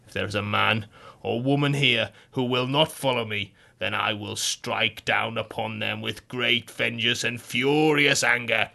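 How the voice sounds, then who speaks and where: A man's voice: mid-range voice, fairly even intonation, unhurried, gravelly, bright, forceful tone, full character voice. A man voicing a hypnotised man who believes he is a king and delivers rousing, bellowing battle speeches.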